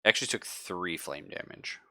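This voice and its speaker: A man's voice: deep voice, animated, normal speed, creaky, pushed and bright, no echo, clean studio sound. A man casually running a live tabletop roleplaying game as game master, with slangy, profane narration.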